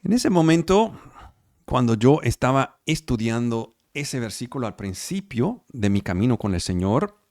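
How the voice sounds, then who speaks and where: A man preaches in Spanish, which is not his first language, leading a weekly Bible study over a video call. His voice has a gravelly edge and rises and falls in lively sweeps. He stresses points by repeating words and keeps checking that his listeners follow, all in a dry, close sound. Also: mid-range voice, a little slow, no room sound. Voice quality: speaks Spanish as a second language. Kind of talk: online sermon and Bible study. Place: home, online service.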